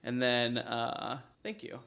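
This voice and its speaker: A man's voice: mid-range voice, lively intonation, slow pace, creaky, pushed and bright. Meandering man in informal podcast chat. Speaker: podcast co-host. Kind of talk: casual chat about tabletop role-playing.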